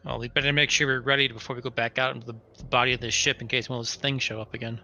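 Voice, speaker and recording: Bright-voiced man playing a tabletop game. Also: mid-range voice, level tone, very fast, slight background noise. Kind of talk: casual in-character game talk.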